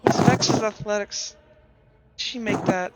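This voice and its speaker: A man's voice: sits high, a little slow, heavy background noise. A man running a tabletop game as game master, rambling with frequent restarts.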